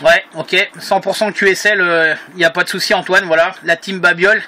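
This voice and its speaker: Casting: male radio amateur, high sharp voice through a transceiver, informal, agreeable, meandering and hesitant delivery. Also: steady tone, a little fast. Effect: heard through a two-way radio. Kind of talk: casual radio contact chat.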